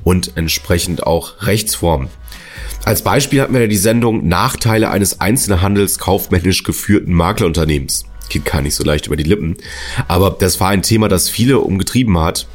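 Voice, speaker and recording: A podcast host with a very deep voice. He talks in a chatty, friendly way and jokes with his guest. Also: brisk, faint music behind. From northern Germany. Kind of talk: casual podcast hosting.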